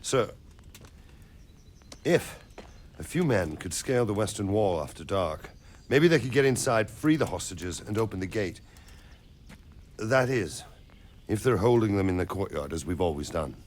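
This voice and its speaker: Game role: deferential medieval soldier, low, earnest, expressive in pitch. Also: male voice, a little slow, long pauses, slight background noise. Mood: earnest, urgent. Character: earnest, deferential soldier proposing a daring plan. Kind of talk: dramatic video game acting.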